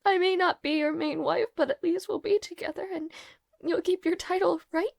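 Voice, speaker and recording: Girl voice actor doing a sad devoted maid for a fantasy audio rp, really high voice, formal n halting, kinda tearful, super dry close sound. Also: fairly even intonation, vocal fry, no room sound. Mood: pleading. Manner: formal, halting, hesitant, earnest speech. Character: devoted young noblewoman maid, lovelorn, dutiful, tearful. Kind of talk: intimate fantasy audio roleplay acting.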